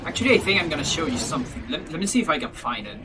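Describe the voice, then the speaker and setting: A man's voice: fairly high pitch, sing-song, rapid-fire, heavy background noise. A young man whose first language is Spanish, chatting casually with stream viewers from his bedroom in rambling, self-correcting English and laughing easily. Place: bedroom, indoors.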